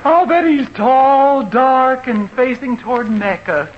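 A woman playing a wry, excitable, gossipy wife in a 1950s radio comedy, on an old recording. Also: high voice, takes it slowly, dull audio, a little hiss or hum. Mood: intrigued, teasing.

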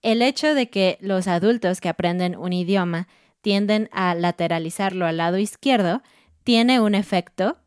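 Mujer de voz nítida y seca, explicando. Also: female voice, medium pitch, normal speed, smooth, dry recording, studio quality. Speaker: co-host. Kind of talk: explanatory podcast chat.

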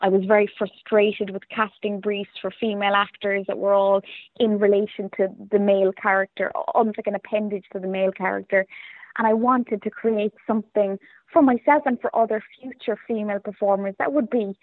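Over a narrow, tinny phone-style line, an Irish woman chats as an interview guest. She is casual, reflective and a little self-deprecating. Her pitch is mid-range and the sound is dry. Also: fairly even intonation, a little fast, dry recording. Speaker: playwright and performer being interviewed.

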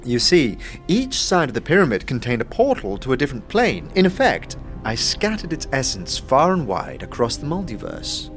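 A man performs an eccentric male zealot from a fantasy audio drama, with swooping, lively pitch. He is theatrical and pompous about his god, yet halting and easily flustered. Faint music plays underneath. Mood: gleeful, boastful. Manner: theatrical, halting, chuckling, swinging between grand and flustered.

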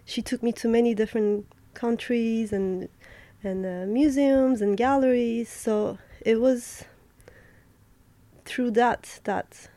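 A young woman from Turkey answers questions about her art, pausing often and restarting her thoughts. Her voice sits a little high and sounds mellow and soft. The recording is dry and close. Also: steady tone, no room sound. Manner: thoughtful, halting, many fillers and restarts. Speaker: artist. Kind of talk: interview answers.

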